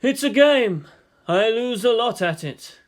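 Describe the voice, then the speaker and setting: A man's voice: very high-pitched, expressive, unhurried, voice pushed up. A man voicing a lazy, wry middle-aged former admiral with a mild, philosophical manner in a story reading.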